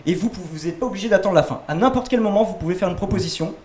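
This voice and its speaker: Chatty, teasing quiz host in an echoey room. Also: male voice, high voice, very fast, darkish tone. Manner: chatty, digressive, teasing, many fillers. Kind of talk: casual quiz hosting with banter. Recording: roomy echo with faint noise.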